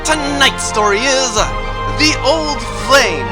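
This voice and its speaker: High-pitched grandiose space serial announcer, performed. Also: takes it slowly, loud music behind the voice. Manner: theatrical, grandiose. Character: grandiose old-time serial announcer introducing space adventures. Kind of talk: dramatic scripted radio serial narration.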